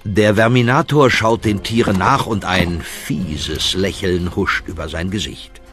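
A man's voice: sits low, unhurried, fairly bright tone. A man narrating a children's audio play, telling the story with dramatic phrasing. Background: music.

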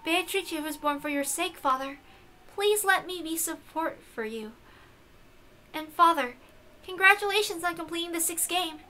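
A devoted, meek young woman, voiced by a narrator in a visual novel. She speaks very high and softly, with polite, halting deference. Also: slight background noise. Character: meek, formal, devoted young woman addressing her father.

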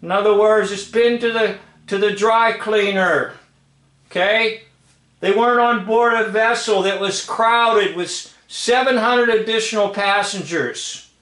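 Slow, high-pitched man in a roomy space. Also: fairly even intonation, fairly bright tone. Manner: emphatic, repeats phrases, checks in with "okay?". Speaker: commentator. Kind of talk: off-the-cuff commentary over old film footage. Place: indoor room, filming a screen. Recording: roomy, echoing home recording.

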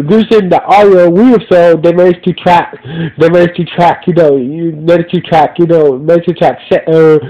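A man's voice: a little high, warm tone, muffled recording. A man with a stuffy nose hosting a podcast, recapping a TV episode in a rambling, repetitive way with many restarts. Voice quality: nasal. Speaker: reviewer and podcast host. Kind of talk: casual spoken episode recap.

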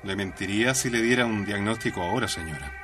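A man's voice: on the low side, fast, background noise, close to an everyday voice. A man playing a cautious, formal family doctor in a radio drama. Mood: grave, cautious. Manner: formal, careful.

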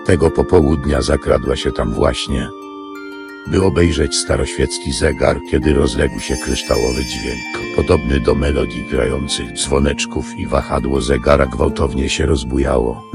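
A man's voice: extremely low pitch, creaky. A man narrating a scripted fairy tale as the storyteller of an audio drama. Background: music.

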